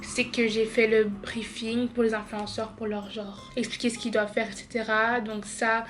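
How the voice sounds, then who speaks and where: A woman's voice: on the high side, little pitch movement, moderate pace, a little hiss or hum. A young woman from Belgium vlogging casually at home, tired and chatty. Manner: chatty, tired, says genre a lot. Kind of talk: casual vlog chat.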